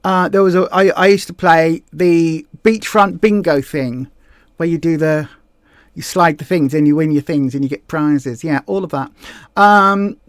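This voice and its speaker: A woman's voice: deep voice, unhurried, no room sound, clean studio sound. A woman with a Southeast English accent hosting a casual livestream, chatting in a rambling way and correcting herself as she reads viewer comments. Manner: rambling, self-correcting, many fillers. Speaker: livestream host.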